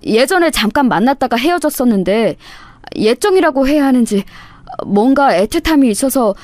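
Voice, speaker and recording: A woman's voice: sits high, lively intonation, moderate pace, darkish tone, no echo. A woman voicing an evasive, defensive husband who blames his wife in a dramatized story. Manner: defensive, blaming. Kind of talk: dramatized radio story dialogue.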